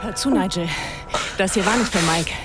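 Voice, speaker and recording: Woman acting a young starlet avenger, loud music. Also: mid-range voice, normal speed, close to an everyday voice. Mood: cool, determined. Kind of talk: radio crime drama acting.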